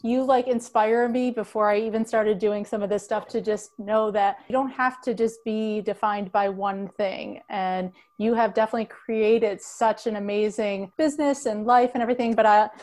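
A woman's voice: mid-range voice, fairly even intonation, normal speed, few pauses, a little mellow. A woman hosting a podcast in a conversational interview style. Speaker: podcast host.

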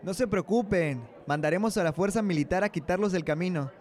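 A man's voice: sits high, a little fast, darkish tone, close to an everyday voice. A young man with a Mexican accent playing a smug, pompous, ruthless president in an amateur radio drama. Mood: dismissive. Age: young adult.

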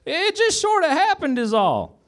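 A man voicing a jittery, squeaky small-town deputy who is pleading and flustered, in a live comedy parody. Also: huge pitch swings, normal speed, fairly bright tone, heavily acted. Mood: pitifully apologetic. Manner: stammering, pleading.

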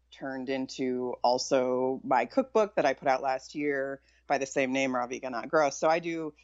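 Low-voiced, low-key woman guest. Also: no room sound. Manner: low-key, not chipper, candid. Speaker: podcast guest, chef and author. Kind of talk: casual interview chat. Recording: dull lo-fi sound.

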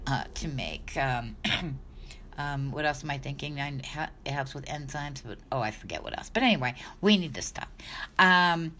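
Woman in her sixties, chatty, rambling, self-correcting, slightly low voice, casual vlog. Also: fairly bright tone, slight background noise. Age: 60s. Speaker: dog health vlogger. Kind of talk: casual talk to camera.

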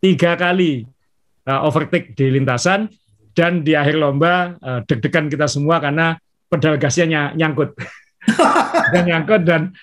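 A man co-hosting a casual podcast, giving a measured race analysis with frequent "eh" fillers. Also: fairly high pitch, a bit bright. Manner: measured, fills pauses with "eh". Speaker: podcast co-host, racing analyst.

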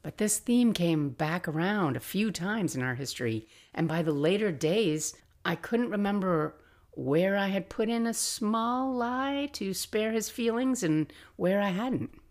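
An older woman hosting a podcast, telling personal stories in a casual, reflective, confiding and expressive way. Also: medium pitch, a little slow. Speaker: podcast host.

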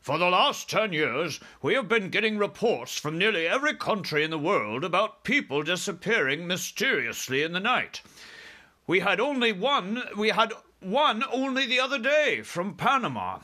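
A man's voice: high voice, sing-song, normal speed, sharp tone, voice pushed up, exaggerated voice. A man voicing a blustering, hot-tempered army commander in a storybook, with indignant, barking military talk. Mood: urgent reporting. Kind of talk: storybook character voice.

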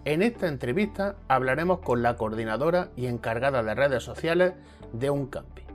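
A man's voice: mid-range voice, lively intonation, moderate pace, faint music behind. A man from Andalusia hosting a podcast interview in a friendly, chatty way, often restarting his sentences. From Andalusia, Spain (Jaén). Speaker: podcast host.

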